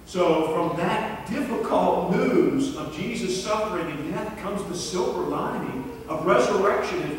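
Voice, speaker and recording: A middle-aged male pastor delivers an earnest, measured sermon at a slow, deliberate pace. His voice sits a little high for a man. It is caught from far back in a large sanctuary, with a long, ringing reverb. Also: slight background noise. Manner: earnest, measured preaching with repeated words. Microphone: far camera in the pews.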